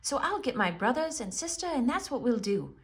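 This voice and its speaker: A woman's voice: on the high side, big pitch swings, brisk, a bit bright, voice pushed up. A woman voicing a brave, earnest young girl in a storybook, emphatic and eager. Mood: determined.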